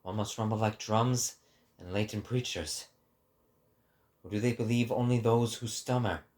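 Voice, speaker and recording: A man giving a dramatic scripted narration in a low, subdued register, measured and solemn. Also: steady tone, a little mellow. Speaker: voice actor, narrator.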